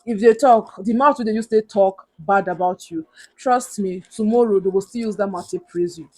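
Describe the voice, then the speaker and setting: Loud-voiced young Nigerian woman giving advice. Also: medium pitch, normal speed. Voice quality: loud, big voice. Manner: earnest, emphatic, repeats points for stress. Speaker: vlogger. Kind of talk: motivational advice talk to camera.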